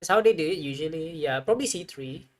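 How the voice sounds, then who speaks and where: A game streamer chats casually during a livestream in a medium-pitched voice. The delivery is unscripted and rambling, thinking out loud. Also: animated, quick pace. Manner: rambling, musing aloud.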